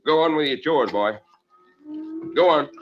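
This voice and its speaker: A man's voice: fairly high pitch, lively intonation, measured pace. An actor playing a surly, evasive American farmer and father in an old-time radio drama, muttering terse fragments and barking orders. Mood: evasive, gruff. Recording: muffled, noisy old radio recording.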